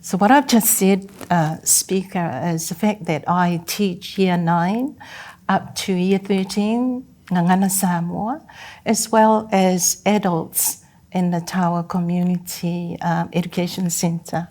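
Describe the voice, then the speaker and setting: Unhurried woman teacher, lowish voice, earnest and measured. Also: fairly even intonation, darkish tone. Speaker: Samoan language teacher. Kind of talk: guest interview.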